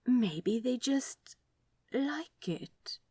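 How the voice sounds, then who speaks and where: A woman's voice: fairly high pitch, lively intonation, drawn-out words, warm tone, husky, no echo, pitched above the performer's own voice, full character voice. A woman voicing a prim, curious male angel with a hesitant, polite, fussy way of talking in a story reading. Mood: tentative.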